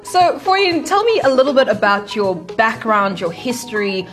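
A woman hosting an interview, asking curious questions with drawn-out fillers. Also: fairly high pitch, big pitch swings, faint music behind. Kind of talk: podcast interview.

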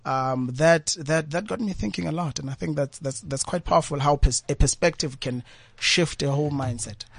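A man's voice: on the high side, normal speed, vocal fry, breathy edge, no room sound. A South African man chatting as a guest poet in a radio interview, speaking thoughtfully and often repeating his words. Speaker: guest poet and former journalist. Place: radio studio.

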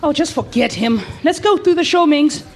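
A brisk radio producer acted by a woman for a radio drama. The voice is high and very lively in pitch, with a firm, bossy edge. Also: faint music behind. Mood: brisk, encouraging. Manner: bossy, no-nonsense. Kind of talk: live radio drama acting.

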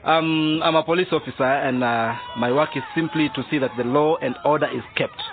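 A man's voice: on the high side, brisk, close to an everyday voice. A man playing a genial but firm police sergeant and father, teaching boys in a radio drama, chuckling and hesitating. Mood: genial, instructive. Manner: chuckling, didactic, hesitant fillers. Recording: noisy, tinny phone-like radio drama recording.

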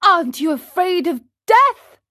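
A woman voicing a patient, motherly fairy in a storybook, who gently admonishes like a mother with a child. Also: very high-pitched, very animated, a little slow, pitched above the performer's own voice, heavily acted. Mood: grave warning. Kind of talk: storybook character reading.

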